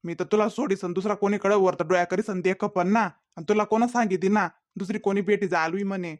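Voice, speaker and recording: A man's voice: sits high, steady tone, fast, dry recording, natural voice. A man voicing a defensive young village boyfriend in a comedy cartoon, pleading and making excuses in a Khandeshi Ahirani accent. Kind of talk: comedy cartoon dialogue in dialect.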